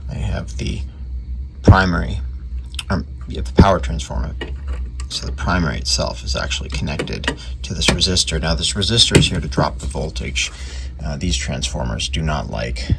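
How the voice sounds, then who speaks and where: A man's voice: deep voice, normal speed, vocal fry, heavy background noise. An American man giving casual, unscripted repair commentary in a rambling, offhand way as a hobbyist at his workbench. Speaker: hobbyist repairer presenting radio restoration. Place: indoor workshop at a bench.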